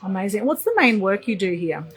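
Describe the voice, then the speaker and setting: A woman's voice: medium pitch, big pitch swings, fairly quick. A woman hosting an interview, asking questions and offering enthusiastic praise. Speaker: interviewer and show host.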